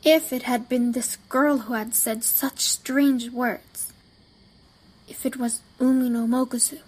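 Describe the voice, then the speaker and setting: A woman playing a reserved, brooding teenage girl in an amateur audio drama, measured and guarded in manner. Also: a little high, steady tone, a little mellow, breathy edge, poor audio. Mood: pensive.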